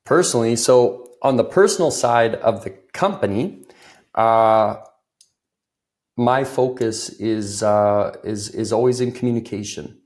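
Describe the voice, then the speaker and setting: A man's voice: medium pitch, unhurried, a little mellow. A man from Ireland, speaking as an interview guest with a voice slightly off from a cold, chatty and full of fillers. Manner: loose, filler-heavy, laughs easily. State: recovering from a cold, voice a bit off. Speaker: guest, business consultant. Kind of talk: conversational interview.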